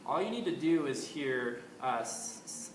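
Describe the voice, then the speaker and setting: Male host, casual rambling delivery, mid pitch, roomy echo, faint background hiss. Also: lively intonation, a little slow. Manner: rambling, many ums and restarts. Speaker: astrology video host. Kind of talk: casual spoken commentary. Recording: echoey room with faint ambient noise.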